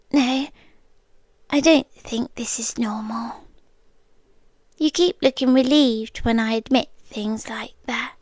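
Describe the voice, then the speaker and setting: A young British woman voicing an obsessive young girlfriend who has kidnapped her partner, speaking softly and often dropping into whispers, close to the microphone in an ASMR roleplay. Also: sits high, no room sound. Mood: tender, eerie. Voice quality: calm, intimate, unsettling. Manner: soft-spoken, slipping into whispers.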